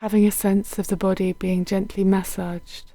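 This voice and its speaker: Mellow, soothing woman guiding meditation. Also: medium pitch, level tone, no echo. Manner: gentle, unhurried, soothing, with soft phrase endings. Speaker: meditation guide. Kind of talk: guided body scan meditation.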